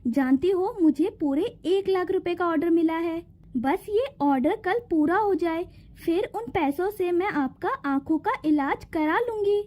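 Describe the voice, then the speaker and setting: A woman's voice: very high voice, measured pace, darkish tone. A woman voicing a kind, dutiful young fairy in a cartoon, polite and reassuring. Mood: hopeful, reassuring.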